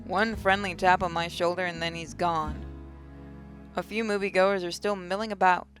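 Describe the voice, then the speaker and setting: Female gaming host, slightly low register, expressive first-person story narration over faint guitar music. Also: moderate pace. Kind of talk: reading visual novel narration aloud.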